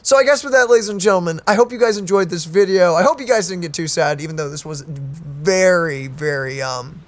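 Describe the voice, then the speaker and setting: A video game streamer giving casual, informal and expressive commentary as co-host. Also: mid-range voice, fairly quick. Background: game music.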